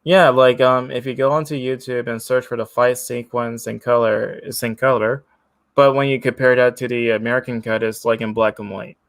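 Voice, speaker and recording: A man's voice: medium pitch, little pitch movement, moderate pace, no echo. A man hosting a casual chat about martial arts movies, talking loosely with lots of fillers and asking questions. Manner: chatty, lots of "like", laughs easily. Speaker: host.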